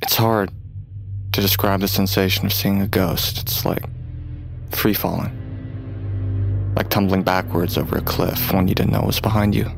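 A haunted young man in an audio drama. His voice sits fairly low. He is terse and guarded, with bitterness and brooding under the words. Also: level tone, normal speed, gravelly, pauses often, faint music behind. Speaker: narrator and lead character. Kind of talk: audio drama acting and first-person narration.

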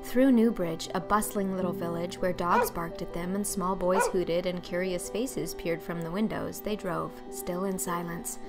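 A woman reading scripted storybook narration in an expressive, literary manner. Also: fairly low pitch, normal speed, hardly any pauses, over music. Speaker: audiobook narrator.

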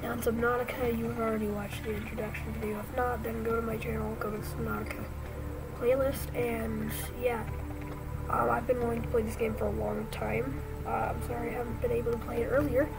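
A woman commentating a video game, her voice worn away by illness and often dropping to a whisper. She reacts excitedly to what is on screen. Her pitch sits a little high for a woman and her tone is gravelly, with loud game music playing underneath. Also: a little fast, low-quality recording. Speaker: gaming channel host. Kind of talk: casual let's-play commentary.

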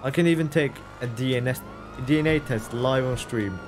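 A man's voice: mid-range voice, moderate pace, background noise. A young man streaming a game live, reading chat aloud and answering it with incredulous, laughing banter.

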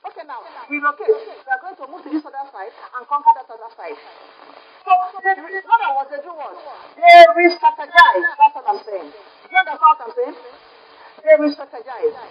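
Woman, high voice, emphatic repeating preacher, church, muffled lo-fi recording. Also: lively intonation, normal speed. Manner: emphatic, repeats words for effect, asks the listeners questions. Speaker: religious sister leading a prayer retreat. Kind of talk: sermon. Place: church sanctuary by the altar.

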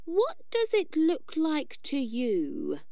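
A woman voicing an excited, eager young schoolgirl in a children's storybook, with a questioning manner. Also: sits high, slow pace, vocal fry, dry recording, clean studio sound, voice pushed up, heavily acted.

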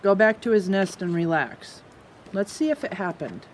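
A woman's voice: mid-range voice, sing-song, normal speed, slight background noise, rough recording. A middle-aged woman narrating a children's story time as a ranger, putting questions to her listeners. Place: outdoors at a log cabin in woods.

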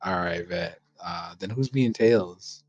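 A man's voice: sits low, takes it slowly. A young man chatting in casual slang while taking part in an amateur game fandub. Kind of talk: casual gaming chat, slangy.

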